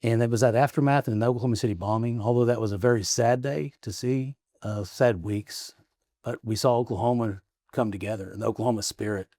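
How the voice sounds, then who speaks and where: A man's voice: medium pitch, fairly even intonation, a little fast, dark tone, dry recording. Plain-spoken man from Arkansas, dry sound. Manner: rambling, restarts stories, chuckles often. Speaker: guest, state representative. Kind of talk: casual interview conversation. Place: office in the state capitol.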